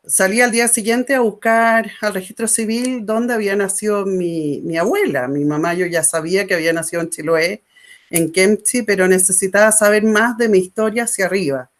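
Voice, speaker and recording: A woman from Chile with a Chilean accent, a panel guest telling her personal story in a reflective, unhurried way. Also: medium pitch. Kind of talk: personal testimony.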